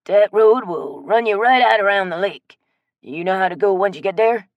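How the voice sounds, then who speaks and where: A woman voicing a friendly, generous old man with a loud, drawling way of talking in an audiobook. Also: medium pitch, moderate pace, no room sound, muffled recording, lightly acted. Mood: folksy, hearty. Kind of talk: audiobook character voice.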